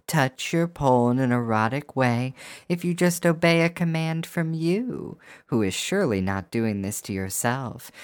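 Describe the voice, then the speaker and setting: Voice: mid-range voice, clear, resonant tone, no room sound. An adult performer delivering a scripted erotic hypnosis monologue in a coaxing, teasing, rhythmic way, speaking straight to the listener. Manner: coaxing, teasing, with rhyming, repetitive phrasing.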